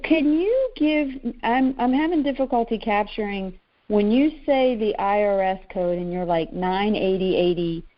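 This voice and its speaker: A woman's voice: medium pitch, unhurried. A middle-aged woman caller talking casually and plainly, hesitant and often restarting her sentences as she asks questions. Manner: hesitant, self-interrupting, plain-spoken. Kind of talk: casual question-and-answer talk. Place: conference call. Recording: muffled, narrow-band conference call audio.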